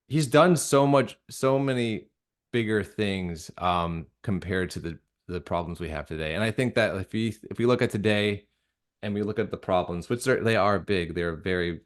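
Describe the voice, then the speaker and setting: Guy with a fairly low voice, earnest interview chat, kinda halting, repeats stuff for emphasis. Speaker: interview guest, radio drama creator. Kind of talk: earnest conversational interview about faith.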